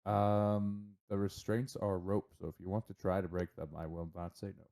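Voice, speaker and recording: A man's voice: sits low, little pitch movement, moderate pace, warm tone. A man running a tabletop game, narrating casually and informally as game master.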